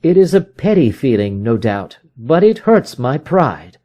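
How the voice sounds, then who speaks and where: A man's voice: mid-range voice, big pitch swings, measured pace, dull audio, voice pushed up. A man voicing a proud, brooding detective in an audiobook, with an emphatic, agitated way of declaring things. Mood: wounded, resolute. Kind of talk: dramatic audiobook character reading.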